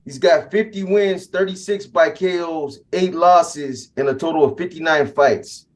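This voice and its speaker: A man's voice: fairly high pitch, a little slow. Casual male interviewer saying "bro". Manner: casual, friendly, calls the guest "bro". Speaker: interviewer, host. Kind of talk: podcast interview.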